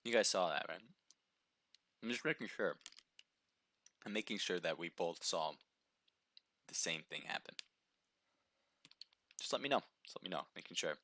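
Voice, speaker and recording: A man giving chatty play-by-play commentary on a live mobile game match, his voice slightly hoarse from a sore throat. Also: sits low, lively intonation, normal speed, pauses often, bright, forceful tone, no room sound. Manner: chatty, upbeat, reads viewer names aloud.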